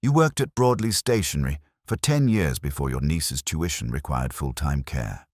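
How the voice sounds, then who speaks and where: A man voicing a calm, quietly shrewd police inspector who lays out evidence in a measured, understated and methodical way. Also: a bass voice, dry recording, clean studio sound. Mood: methodical, certain.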